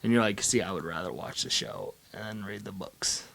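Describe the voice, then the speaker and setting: A man's voice: on the low side, normal speed, creaky, background noise. A man hosting a comic book video series in casual, conversational vlog style, upbeat and coaxing as he chats with a partner and addresses viewers.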